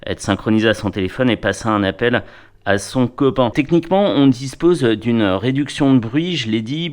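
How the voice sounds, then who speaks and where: A man giving a casual hands-on product review, playful and wry, often talking to himself and to the gear. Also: on the low side.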